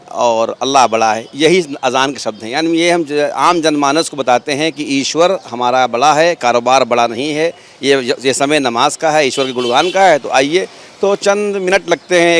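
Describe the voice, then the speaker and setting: A man, a local worshipper, answering a TV reporter outdoors in an earnest, explanatory way, often rephrasing himself. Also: mid-range voice. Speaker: local worshipper interviewed by TV news. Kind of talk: street interview answers. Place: outdoors outside a mosque.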